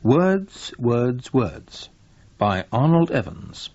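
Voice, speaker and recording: A male radio announcer reads a scripted introduction. His delivery is slow and varied in pitch, with a husky edge. The recording is dry and slightly dull. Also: big pitch swings, darkish tone, no room sound.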